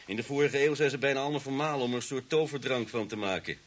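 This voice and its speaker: An actor playing a jovial, wry middle-aged police inspector in a Dutch radio drama, with a folksy, mocking way of talking. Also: male voice, medium pitch, very fast, natural voice. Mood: dry, composed.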